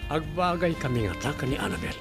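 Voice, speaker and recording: A man's voice: a little high, very animated, a little fast, over music. A man in a radio drama playing a smug, scheming rich man who is greedy for more wealth.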